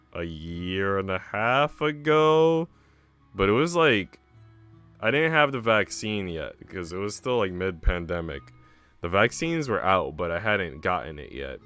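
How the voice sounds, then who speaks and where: A man's voice: low-pitched, a bit bright, clear, resonant tone. A young man chatting casually on a live stream in a slangy, rambling way. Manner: slangy, rambling, sprinkled with "like" and "bro". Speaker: streamer reading a visual novel, a teacher.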